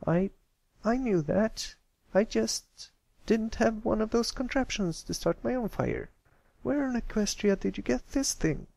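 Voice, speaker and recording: A man's voice: sits high, measured pace, creaky, dry recording, pitched above the performer's own voice, exaggerated voice. A man voicing a brash, boastful young tomboy pony filly in a storybook reading, talking defensively. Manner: loud, defensive, bragging.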